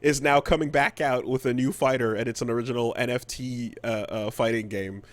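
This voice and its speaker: A man's voice: mid-range voice, a little fast. A man co-hosting a podcast, telling gaming news in casual, profane, comedic chat, acting out quotes as he goes. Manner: animated storytelling with acted-out quotes. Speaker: podcast co-host.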